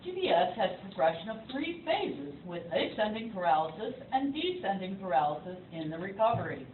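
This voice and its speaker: A woman's voice: medium pitch, very animated, a little fast, lightly acted. A woman narrating an educational puppet show with a scripted, instructive delivery aimed directly at the viewers. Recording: tinny, echoey, distant recording with faint noise.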